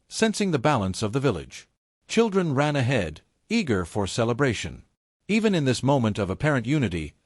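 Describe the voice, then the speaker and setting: A man narrating a scripted folktale in a solemn, portentous way, in short measured phrases. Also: medium pitch, dry recording, studio quality. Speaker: storyteller, narrator.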